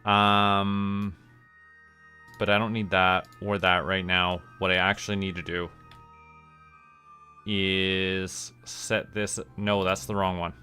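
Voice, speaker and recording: Man, fairly low pitch, casual gaming livestream, thinking out loud, music in background. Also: little pitch movement, slow pace, pauses often. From Canada. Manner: thinking aloud. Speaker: game streamer. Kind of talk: casual livestream gameplay commentary.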